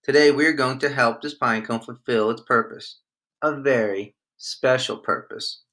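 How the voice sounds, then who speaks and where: A man's voice: medium pitch, a little slow, pushed and bright. A man reading the part of a kindly young dad in a storybook, encouraging his children as he explains things. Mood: gentle, encouraging.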